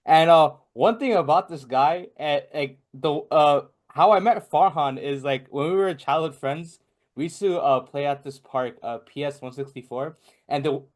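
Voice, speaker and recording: A man's voice: fairly high pitch, moderate pace. A young man from Bangladesh, a podcast guest in casual chat, rambling with many fillers and restarts. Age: young adult.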